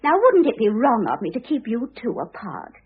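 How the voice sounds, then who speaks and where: Through the thin sound of an old radio play comes a young second wife, all affected innocence. Her high voice swings wildly up and down. She gushes and wheedles theatrically, thoroughly absorbed in herself. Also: wildly swooping pitch, fairly quick, slightly rough, phone-quality sound, exaggerated voice. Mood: self-sacrificing pose. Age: young adult. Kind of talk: classic radio drama acting. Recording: thin band-limited old radio recording.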